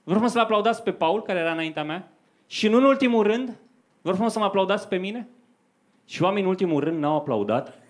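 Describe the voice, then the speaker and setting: A man's voice: high-pitched, lively intonation. A young man performing stand-up comedy in a conversational storytelling manner into a handheld microphone on a club stage. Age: young adult. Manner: conversational storytelling to a live audience. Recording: faint noise, live club stage recording.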